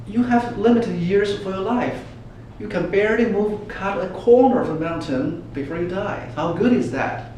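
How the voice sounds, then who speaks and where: Man as a scornful old wise man, high-pitched. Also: moderate pace, a little mellow, lightly acted. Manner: scolding, mocking, emphatic. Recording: echoey room from far off, faint noise.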